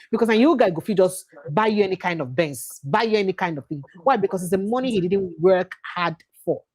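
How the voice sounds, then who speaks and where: A Nigerian woman hosting a casual livestream panel, talking with energy and dropping pidgin phrases into her English. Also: lowish voice. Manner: animated, mixes in pidgin phrases. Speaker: livestream host. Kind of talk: casual live panel chat.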